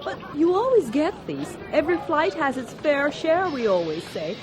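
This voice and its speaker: An actress playing a shaken but professional stewardess in a radio drama, hesitant and defensive. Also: female voice, sits high, big pitch swings, moderate pace, crowd noise.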